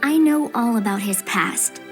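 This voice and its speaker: A gentle, brainy young girl in a game cutscene. Her voice is fairly high and sincere, unhurried and soft-spoken, with music clearly behind it. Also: lively intonation. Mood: earnest, admiring.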